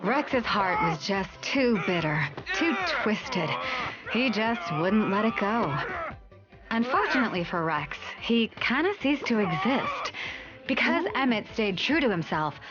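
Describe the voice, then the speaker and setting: A woman playing a sassy, confident hero who narrates an animated game in a wry, conversational way with playful asides. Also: medium pitch, lo-fi sound. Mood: breezy, confident. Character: sassy, confident female hero recapping the adventure. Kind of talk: conversational scripted cutscene narration.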